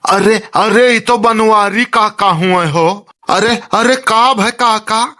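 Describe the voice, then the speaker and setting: Brief: male actor as helpful, flustered cartoon villager, bright high voice, Awadhi accent. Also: slow, exaggerated voice. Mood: concerned. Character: young village neighbour, earnest, flustered, helpful. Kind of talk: amateur comic cartoon dub in dialect.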